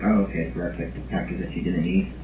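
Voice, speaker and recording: A man's voice: low-pitched, flat delivery, fast talker. A man selling cars on a recorded phone call, explaining things casually and a little hesitantly. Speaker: car dealership salesperson. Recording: noisy, echoey, tinny recorded phone line.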